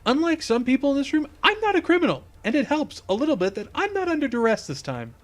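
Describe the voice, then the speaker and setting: Story reading: male narrator as exasperated young techie, pitched up, emphatic, sarcastic. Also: very animated, quick pace. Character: excitable, indignant young man, reluctant tech whiz.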